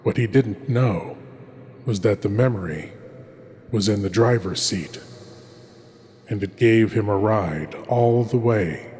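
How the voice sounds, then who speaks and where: Man as slow, low, sinister horror host. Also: darkish tone, a little hiss or hum. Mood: ominous, courtly. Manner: ominous, theatrical. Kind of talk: scripted horror host narration. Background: music.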